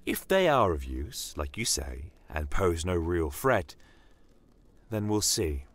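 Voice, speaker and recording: A man voicing a shrewd, courteous non-human commander in a fantasy audio drama, measured and politely menacing. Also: sits low, sing-song, full character voice. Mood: stern, measured.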